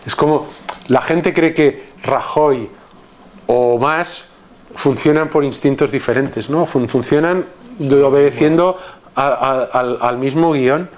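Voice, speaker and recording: A man with a Spanish accent from Catalonia, a guest in a casual conversation, talking in a halting way with many restarts and fillers. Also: medium pitch, darkish tone.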